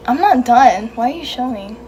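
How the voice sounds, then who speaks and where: A woman's voice: fairly high pitch, animated, moderate pace, warm tone, a little hiss or hum. A young woman doing her sister's makeup, joking and muttering to herself as she works. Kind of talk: casual chat during makeup challenge.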